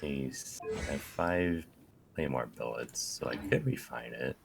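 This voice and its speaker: A man's voice: very deep voice, a little slow, creaky, noisy background. A man streaming a game and chatting casually as he plays. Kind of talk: casual gameplay chat. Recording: loud game music behind the voice. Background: video game music.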